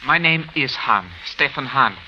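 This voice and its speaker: A man's voice: medium pitch, expressive, measured pace, close to an everyday voice. A male actor playing an anxious, earnest wronged man in old radio drama, speaking formally and pleading with careful phrasing. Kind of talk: 1940s-50s radio drama acting. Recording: muffled old radio recording, faint noise.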